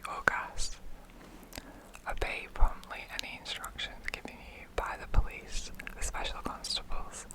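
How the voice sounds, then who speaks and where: The voice is a soft, breathy whisper with no voicing at all. It reads like an ASMR version of a 1940s wartime broadcast. The phrasing is measured and instructive, each piece of advice set out carefully. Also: rough recording. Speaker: ASMR reader.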